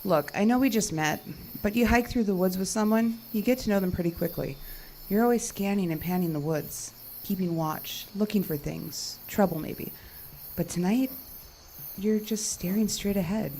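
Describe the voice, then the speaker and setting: Woman playing sardonic outdoor technician, medium pitch, direct, sharp-witted, audio drama, faint cricket ambience. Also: moderate pace, slight background noise, natural voice. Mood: probing, direct. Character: wry, sharp-witted woman, cell tower technician hiking along. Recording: night crickets and insects behind voice. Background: crickets and insect ambience.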